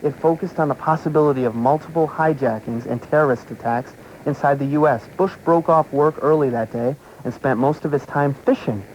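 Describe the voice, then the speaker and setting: A man's voice: a little high, fairly quick, hardly any pauses, lo-fi sound. American man reading commentary on noisy shortwave radio. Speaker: radio talk show host. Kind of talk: reading political commentary aloud. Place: radio broadcast, heard through a shortwave receiver. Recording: noisy shortwave radio broadcast taped on cassette.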